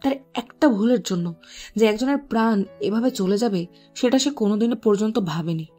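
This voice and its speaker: A woman's voice: medium pitch, expressive, moderate pace, mellow, soft background music. A woman reading scripted story narration as the narrator.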